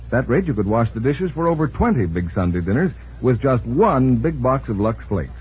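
A man's voice: mid-range voice, slightly rough. A man working as a commercial announcer, reading a scripted 1940s radio advertisement. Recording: narrow, tinny 1940s radio recording.

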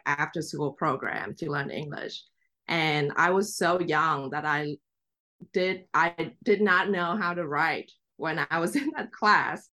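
A woman's voice: sits low, pushed and bright. A young woman from Vietnam whose first language is Vietnamese, telling her story in a chatty, reflective way with light laughs. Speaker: guest journalist. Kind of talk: casual interview talk.

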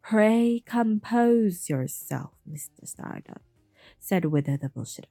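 A woman voicing a smooth, evasive older male official who talks slowly and overly politely. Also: mid-range voice, lively intonation, warm tone, no echo, clean studio sound, soft background music, full character voice. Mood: placating.

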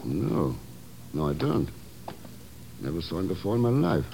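An actor playing a cool, terse government agent in a 1950s radio drama. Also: male voice, low voice, big pitch swings, measured pace, vocal fry, mellow, full character voice. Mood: composed, businesslike. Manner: terse, composed, businesslike. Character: cool, clipped male government agent, investigating calmly. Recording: noisy old 1950s radio recording.

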